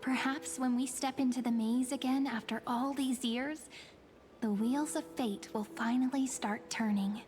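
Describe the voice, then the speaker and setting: A woman voicing a mysterious young fortune reader in a video game, speaking softly with a teasing, wistful manner. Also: sits high, fairly even intonation, a little slow. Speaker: game character.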